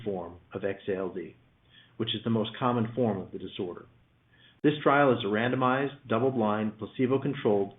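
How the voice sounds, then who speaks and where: A man's voice: medium pitch, phone-quality sound. Man reading formally on a roomy call line. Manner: formal, measured read-out delivery. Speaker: company executive presenting quarterly results. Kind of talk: scripted earnings call presentation. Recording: roomy, slightly band-limited conference call line.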